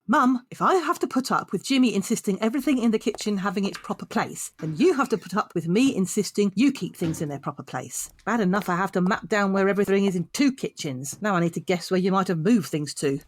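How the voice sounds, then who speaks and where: An actress playing a caring but exasperated adult daughter in an audio drama, with a nagging manner. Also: female voice, mid-range voice, lively intonation, fast talker, few pauses, a little hiss or hum, lightly acted. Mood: irritated. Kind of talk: naturalistic audio drama acting.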